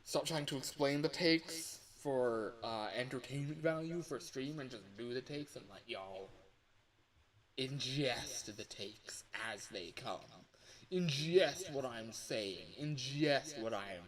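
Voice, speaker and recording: A man chatting casually as a livestreamer, rambling and halting, often correcting himself and sometimes swearing. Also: mid-range voice, animated, a crowd in the background, poor audio. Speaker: livestreamer recording voice lines.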